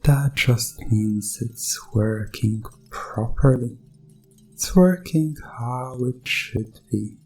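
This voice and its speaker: A man playing a sinister doctor in a hypnosis ASMR roleplay, soothing and hypnotic, repeating himself with calm menace. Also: mid-range voice, faint music behind. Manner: slow, soothing, hypnotic, repetitive.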